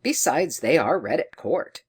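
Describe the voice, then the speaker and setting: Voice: mid-range voice, lively intonation, measured pace, husky. A narrator voicing an eager, jovial male scholar-clergyman in a storybook, bookish and emphatic. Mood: eager, cheerful.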